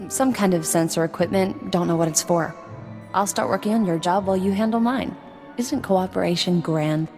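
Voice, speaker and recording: Casting: actress as hard-nosed game trader, sarcastic, lowish female voice, reverberant, music behind. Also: moderate pace, a little mellow, lightly acted. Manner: clipped, sarcastic, no-nonsense. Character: tough, sardonic, businesslike female cargo supplier. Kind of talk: video game acting.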